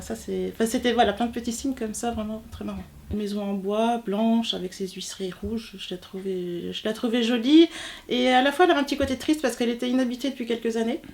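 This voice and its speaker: Creaky-voiced woman in her forties, casual storytelling. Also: fairly high pitch, a little mellow. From southern Manche, Normandy, France. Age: 40s. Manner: hesitant, searching for words, informal. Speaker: interviewee, textile artist.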